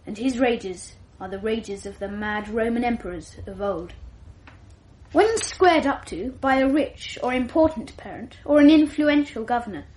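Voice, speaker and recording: A woman's voice: on the high side, moderate pace, darkish tone, lightly acted, rough recording. A woman playing a precocious English schoolboy. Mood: reading aloud. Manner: precocious, wordy and self-deprecating. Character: clever, self-assured twelve-year-old English schoolboy. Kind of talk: 1980s radio drama acting.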